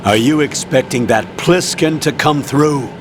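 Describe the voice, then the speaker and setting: A man's voice: on the high side, measured pace, background noise, lightly acted. A man acting a stern, businesslike colonel. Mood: stern, firm. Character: stern male colonel briefing his agent, businesslike. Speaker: commanding officer. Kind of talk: dramatic video game voice acting.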